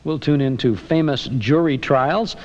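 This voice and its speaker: A man's voice: fairly high pitch, a little slow, highs cut off. A man hosting an old-time radio show with friendly, folksy announcer patter. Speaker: radio host.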